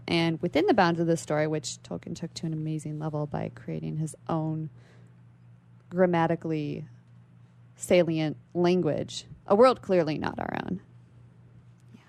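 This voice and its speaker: A woman with a creaky voice speaking as an interview guest, explaining literary ideas in a thoughtful, conversational way. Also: on the low side, a little mellow. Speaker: library worker. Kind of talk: conversational literary discussion on a radio show.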